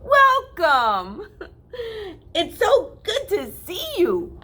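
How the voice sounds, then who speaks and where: A woman's voice: extremely high pitch, huge pitch swings, extremely slow, voice pushed up, exaggerated voice. A woman voicing a cheerful, humble male country mouse who happily welcomes his cousin. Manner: warm, welcoming, content.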